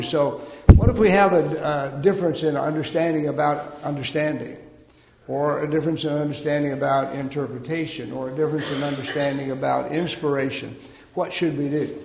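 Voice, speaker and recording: A man preaching a sermon in a measured way, pausing often on fillers. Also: fairly high pitch, gravelly. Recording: echoey hall.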